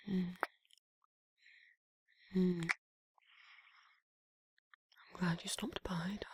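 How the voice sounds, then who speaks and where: A woman voicing a flirty, gently protective vampire lover in an intimate ASMR romance roleplay, with a husky, breathy, often whispered voice and tender, coaxing manner. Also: lowish voice, dry recording, full character voice. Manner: tender, unhurried, coaxing endearments.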